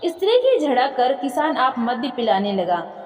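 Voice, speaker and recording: A high-voiced woman narrates an old moral tale with lively, wide-ranging intonation. Her phrasing is literary and dramatic. The room rings with long reverb. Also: normal speed. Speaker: story narrator. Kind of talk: expressive reading of a moral folk tale.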